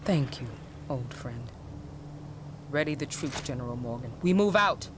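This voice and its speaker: A woman voicing a ruthless, calculating military ruler in a video game, speaking in an imperious, measured, formal way. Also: a bass voice, expressive, background noise. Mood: commanding. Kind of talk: video game cutscene acting.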